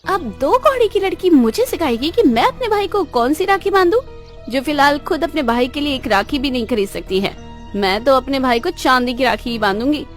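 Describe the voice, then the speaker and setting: A woman's voice: sits high, expressive, a little fast, soft background music. A woman voicing a spoiled, boastful rich young woman in a cartoon, taunting in a showy, exaggerated way. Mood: boastful, scornful. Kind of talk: cartoon moral-story dialogue in Hindi.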